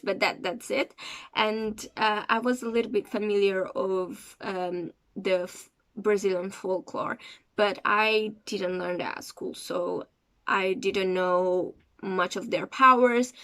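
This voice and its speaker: Woman, talks slow, stops a lot to find her words, chatty review vlog. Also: medium pitch, fairly bright tone. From Cape Verde. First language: Portuguese. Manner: hesitant, searching for words as she goes. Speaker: series reviewer.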